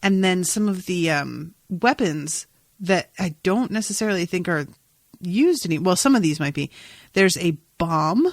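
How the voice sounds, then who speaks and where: A woman hosting a podcast, casually recapping an episode in an animated, amused, sometimes sweary way. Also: fairly low pitch, a little slow, dry recording, clean studio sound. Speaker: podcast host.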